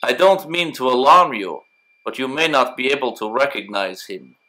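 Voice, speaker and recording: An actor playing a brusque, cryptic Russian military hospital medic in an audio drama, speaking in a formal, measured, clipped way. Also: male voice, mid-range voice, animated, sharp tone, poor audio. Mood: grave, measured.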